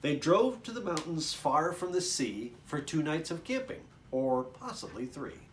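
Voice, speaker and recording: A man's voice: fairly high pitch, big pitch swings, a little slow, slight background noise. A man, a children's author, reading a picture book aloud expressively, with chatty asides to young listeners.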